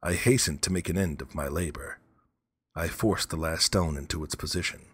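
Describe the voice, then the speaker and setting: Gothic storybook villain, voiced by a man: low, husky, measured and coldly menacing. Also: no room sound. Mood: grim. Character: cold, vengeful male murderer narrating his crime. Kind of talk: dramatic gothic first-person narration.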